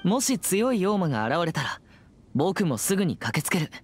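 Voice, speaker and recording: A man playing an earnest, serious teenage boy exorcist in a Japanese video game, speaking in a formal, measured manner. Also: sits high, very animated, slightly rough, soft background music, exaggerated voice. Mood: serious, cautious. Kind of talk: scripted Japanese game voice acting.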